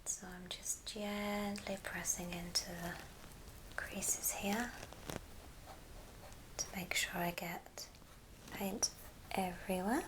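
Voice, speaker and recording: A woman whispering a gentle, step-by-step ASMR craft tutorial in a soft, breathy voice. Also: medium pitch, fairly even intonation, rough recording. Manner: unhurried, gentle step-by-step instruction. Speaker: ASMR host demonstrating a craft. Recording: clear background hiss and clicks.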